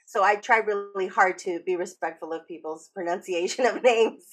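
A woman's voice: mid-range voice, moderate pace, rough recording. A woman co-hosting a casual interview podcast, inviting and considerate, often checking in as she talks. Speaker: podcast co-host.

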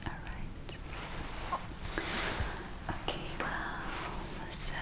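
A woman playing a gentle, caring witch in a whispered ASMR roleplay, speaking softly and soothingly with hesitant phrasing. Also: drawn-out words. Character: gentle caring witch running a magic spa. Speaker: ASMR performer.

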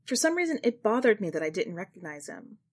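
Female narrator, medium pitch, first-person short fiction for a podcast. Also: big pitch swings, fast talker, breathy edge. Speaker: podcast fiction reader and editor.

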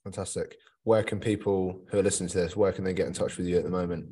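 A man with a low-pitched voice, co-hosting a casual podcast. His conversational delivery is understated, with a dry sense of humour. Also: steady tone, fast, warm tone, slightly rough. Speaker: podcast co-host.

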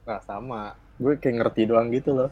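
A man with a strong Betawi Jakarta accent speaks in a slangy, joking podcast style. His pitch is average, and the sound is close and dry. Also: steady tone, normal speed, no echo, narrow, tinny audio. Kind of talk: casual podcast chat.

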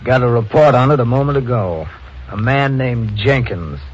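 An actor playing a matter-of-fact police detective in a 1940s radio drama. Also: male voice, mid-range voice, moderate pace, breathy edge, natural voice. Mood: businesslike. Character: gruff, weary police detective. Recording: lo-fi old radio recording with faint hiss.

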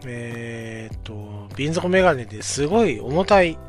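A man's voice: medium pitch, lively intonation, measured pace, faint music behind. A man in his forties telling a childhood story on his own podcast, speaking politely and unhurriedly, with many filler sounds. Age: 40s. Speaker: podcast host. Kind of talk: casual storytelling monologue.

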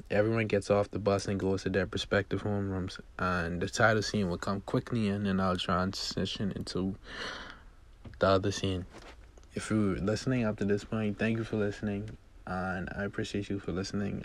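A man reading a movie script aloud, narrating scene headings and action as its amateur writer. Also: lowish voice.

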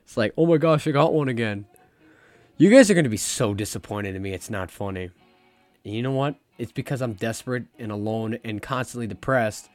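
A young adult man hosting his own podcast, talking in a rambling, confessional way, his voice a little hoarse as it recovers. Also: mid-range voice, a little fast, no room sound. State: slightly hoarse, recovering lost voice. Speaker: podcast host. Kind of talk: casual solo podcast talk. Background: faint jazz music.

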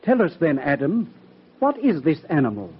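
A man's voice: a little high, wildly swooping pitch, moderate pace, full character voice. An actor playing a needling, sardonic male archangel in a 1960s radio drama. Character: prying, mocking male archangel Michael. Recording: raspy tinny old radio broadcast.

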